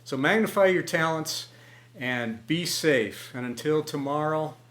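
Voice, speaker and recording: A man telling stories at home, talking in a folksy, encouraging way with many pauses. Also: fairly high pitch, animated, fairly bright tone, slight background noise. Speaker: storyteller. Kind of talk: home storytelling video. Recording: echoey room.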